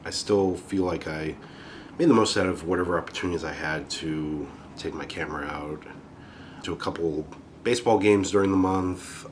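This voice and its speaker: A man's voice: a bass voice, slight background noise. A man with a cold, hosting his own photography channel and chatting loosely through his month, with fillers and restarts. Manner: relaxed, rambling, with frequent fillers and restarts. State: has a cold. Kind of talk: casual monthly photo recap.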